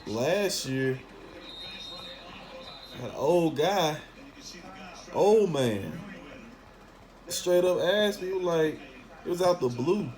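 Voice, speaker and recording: Slow-talking Southern US man, higher-pitched voice. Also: lively intonation, darkish tone. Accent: Memphis, Southern US. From Memphis, southern United States. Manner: blunt, heavy slang and swearing. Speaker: talk host. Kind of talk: casual opinionated monologue.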